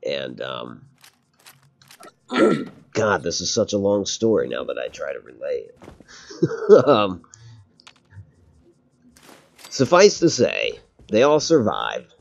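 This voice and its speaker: A man's voice: deep voice, wildly swooping pitch, takes it slowly, creaky, pauses often, lo-fi sound. A man streaming a game, chatting casually, rambling and laughing often. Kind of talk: casual gameplay chat.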